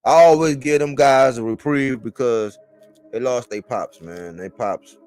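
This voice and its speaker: A man's voice: mid-range voice, unhurried, darkish tone, clean tone, dry recording, soft background music. A man in his forties talking casually as a sports commentator on a live stream, in colloquial language and repeating phrases for emphasis.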